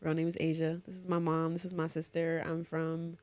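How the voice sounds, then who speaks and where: A woman's voice: low-pitched, monotone, a little fast, a little mellow, no echo. A young woman from Pennsylvania chatting informally as an interview guest and chiming in with questions. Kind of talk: casual chat.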